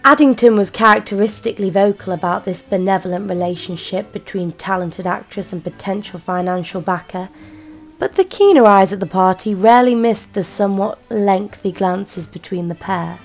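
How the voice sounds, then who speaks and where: A woman playing a wry, observant detective narrating a radio crime drama in measured tones. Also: mid-range voice, soft background music, close to an everyday voice. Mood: wry, reflective.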